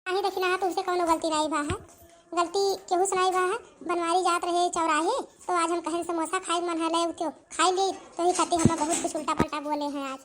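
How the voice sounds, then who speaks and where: A woman's voice: very high voice, level tone, brisk, a bit bright, slight background noise. A woman voicing a feisty, easily offended village wife in a cartoon comedy, with an Awadhi accent and a rustic scolding manner. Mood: animated.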